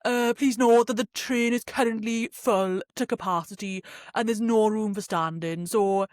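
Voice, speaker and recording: A woman with a Welsh accent, imitating a formal train station announcer who reads a halting notice to passengers. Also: medium pitch, a little mellow, no room sound, studio quality, natural voice. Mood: officious.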